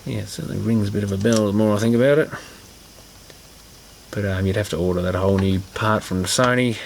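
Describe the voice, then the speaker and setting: Low, gravelly man talking through a repair. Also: steady tone, a little fast, slight background noise. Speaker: repair video presenter. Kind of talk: casual hands-on repair commentary.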